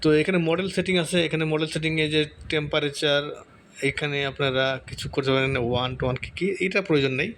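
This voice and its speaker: A man giving a casual step-by-step software tutorial, often repeating himself and checking in with listeners. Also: fairly high pitch. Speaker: tutorial host. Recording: noticeable background noise.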